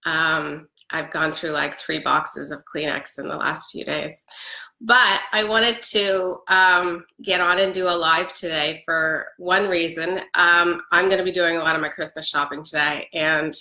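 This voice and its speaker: A woman's voice: low voice, steady tone, unhurried, pushed and bright. Congested chatty woman on a webcam. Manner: chatty, upbeat, many ums, laughs often. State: congested from a head cold. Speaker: product seller. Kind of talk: casual live stream chat. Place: living room with a Christmas tree. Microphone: webcam at close range. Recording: echoey room.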